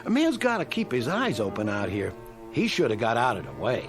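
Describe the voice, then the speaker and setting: A sly, greedy male criminal in a radio drama. He is blunt and taunting, with a mid-pitched voice that moves up and down freely. There is light music in the background. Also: huge pitch swings, fairly quick. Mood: smug, callous. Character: sly, callous, greedy male crook, mocking and treacherous.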